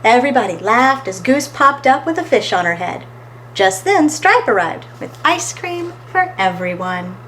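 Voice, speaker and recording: A woman reading a children's story aloud as an expressive storytime narrator, recorded with faint background noise. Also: fairly high pitch, moderate pace. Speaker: storytime reader.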